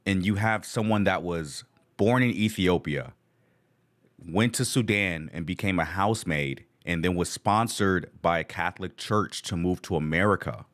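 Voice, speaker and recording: A man's voice: low voice, moderate pace, a bit bright. A man hosting a live reaction stream, talking casually with enthusiastic slang. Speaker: channel host reacting to video.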